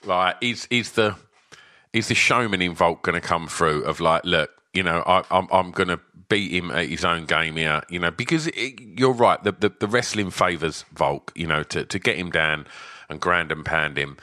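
A man's voice: sits low, normal speed, pushed and bright, no room sound, clean studio sound. A man co-hosting a podcast, chatting casually, stumbling and repeating words as he thinks. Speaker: podcast co-host. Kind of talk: casual chat about fights.

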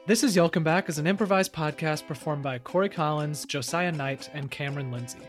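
A man's voice: fairly high pitch, brisk, soft background music. A man reading scripted podcast credits and sign-off as the show's announcer.

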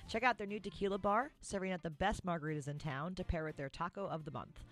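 A woman's voice: lowish voice, fast, faint music behind. A woman hosting a podcast, talking in an enthusiastic, informal way. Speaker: podcast host. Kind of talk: upbeat interview chat with sponsor read.

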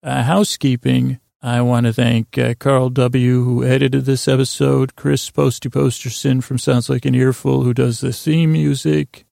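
A man speaks in a deeply gravelly, fry-laden voice that barely moves in pitch. He rambles like a bedtime podcast host, halting and wandering between half-finished thoughts. The recording is dry and close, with no room to it. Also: little pitch movement, warm tone, no echo. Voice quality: gravelly, creaky. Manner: meandering, halting, trailing off mid-thought.

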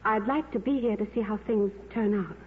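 An actress playing a caring young stewardess in a 1950s radio drama, earnest and gentle with a slight hesitance and quiet determination. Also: female voice, mid-range voice, brisk, lightly acted. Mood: gentle concern. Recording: reverberant, tinny, noisy old radio broadcast.

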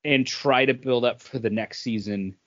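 A man's voice: medium pitch, steady tone, moderate pace, a bit bright, dry recording. A man co-hosting a podcast, explaining things casually and often repeating himself. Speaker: podcast co-host. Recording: dull, lo-fi sound.

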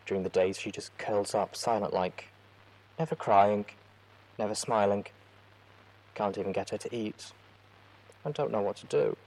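A man voicing a worried young mare writing to a friend, read in a soft, hushed voice. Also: on the low side, moderate pace, long pauses, low-quality recording. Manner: soft, hushed letter reading.

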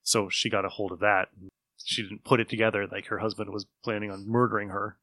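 A man's voice: on the low side, level tone, a little fast, bright, forceful tone, no room sound, low-quality recording. A man hosting a casual podcast chat about old radio shows, rambling along as an enthusiastic storyteller. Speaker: podcast host.

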